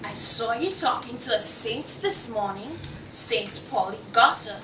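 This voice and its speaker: A young woman in a play table read, playing a prim, sharp-tongued wife who speaks with deliberate disapproval. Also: a little high, lively intonation. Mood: prim, scornful. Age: young adult. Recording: echoey room, distant mic, clear noise.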